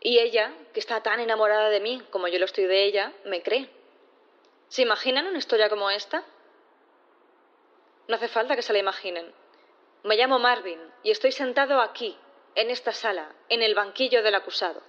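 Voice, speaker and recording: An actress playing an embittered, envious robot on trial, giving a measured, deliberate confession in an audio drama. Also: female voice, mid-range voice, a bit bright, highs cut off, lightly acted. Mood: confessional, grave. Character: embittered robot defendant, envious, yearning to be human. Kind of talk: dramatic courtroom monologue in audio fiction.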